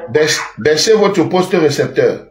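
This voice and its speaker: Calm man taking calls, echoey. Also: fairly high pitch, takes it slowly, background noise. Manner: brief thankful replies, calm. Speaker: singer taking calls from fans. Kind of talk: live call-in chat.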